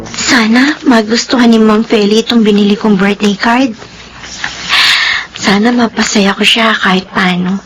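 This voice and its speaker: An actress in a Filipino radio drama playing a young, devoted housemaid who speaks respectfully and earnestly. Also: female voice, mid-range voice, steady tone, unhurried, faint music behind, lightly acted, poor audio. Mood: humble, hesitant. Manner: deferential, pleading.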